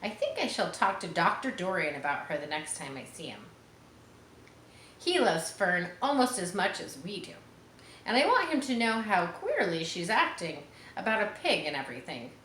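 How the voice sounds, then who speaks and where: A woman's voice: on the low side, big pitch swings, moderate pace, a bit bright, roomy sound, slight background noise. A woman reading the part of a polite, fretful farm mother in a storybook. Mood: worried. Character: worried, proper farm mother.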